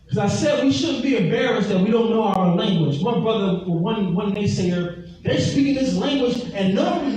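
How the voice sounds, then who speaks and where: A man's voice: high voice, darkish tone. A man preaching an emphatic teaching sermon, repeating his scripture references and drawing responses from the room. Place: large echoey hall, heard from far off. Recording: echoey hall, distant mic, faint noise.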